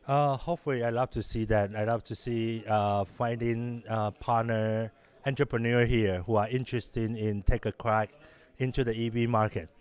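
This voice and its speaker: Halting middle-aged man from Taiwan. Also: medium pitch, fairly even intonation, a little mellow, clean tone. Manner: halting, many restarts and fillers. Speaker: interviewed innovation lab director. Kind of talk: conversational interview answers.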